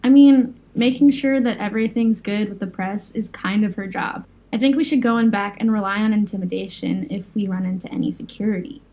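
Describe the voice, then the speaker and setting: Casting: actress as careful, responsible female supervillain, soft-toned mellow voice, middle pitch, firm and earnest, audio drama. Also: brisk, hardly any pauses, lightly acted, rough recording. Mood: cautious, firm. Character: cautious, responsible young fire-powered supervillain.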